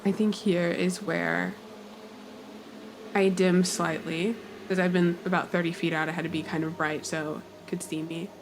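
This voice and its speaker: A woman playing a tabletop game, narrating her character's actions in a measured, pensive way. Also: on the low side, little pitch movement, noisy background.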